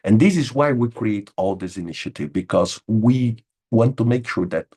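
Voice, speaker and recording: Husky-voiced man, emphatic webinar host. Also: on the low side, lively intonation, moderate pace, darkish tone. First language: Portuguese. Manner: emphatic, repeats words, says "okay?" a lot. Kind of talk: informal webinar talk answering chat questions. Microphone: video call.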